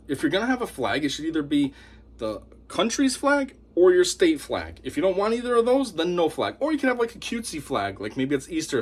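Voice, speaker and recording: A man giving an opinionated monologue to camera as a commentator, talking in a scornful, animated way. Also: a little high, breathy edge. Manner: animated, scornful, mocks opponents in put-on voices. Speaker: YouTube commentator.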